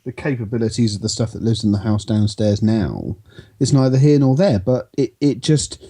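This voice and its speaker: Man, podcast co-host, mellow husky voice, halting rambling speech. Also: lowish voice, animated. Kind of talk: casual podcast chat.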